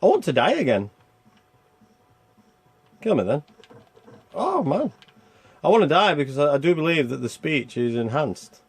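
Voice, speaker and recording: A man's voice: a little high, very animated, normal speed, pauses often, slightly rough. A man giving casual, rambling gameplay commentary, thinking aloud as he plays and reviews a video game.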